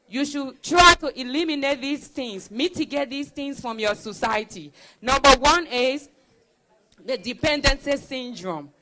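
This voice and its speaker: Young woman, high, bright, ringing voice, emphatic speech-giver who repeats her points. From Liberia. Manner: emphatic storyteller, repeats key phrases. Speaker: student speaker at a celebration. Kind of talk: motivational speech with a personal story.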